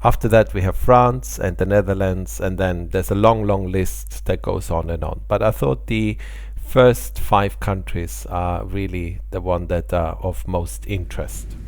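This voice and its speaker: Low, gravelly man with Austrian German accent. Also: steady tone, measured pace, no echo, studio quality. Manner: friendly, explanatory, occasional small laughs. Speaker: airline pilot and channel host. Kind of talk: casual talk to camera about channel statistics.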